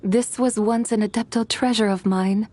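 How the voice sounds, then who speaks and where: A woman voicing an ancient, graceful female immortal in a game, speaking in a soft, poetic, formal and playfully enigmatic way. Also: medium pitch, fairly even intonation, normal speed, natural voice. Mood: gracious. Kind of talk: video game voice acting. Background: background music.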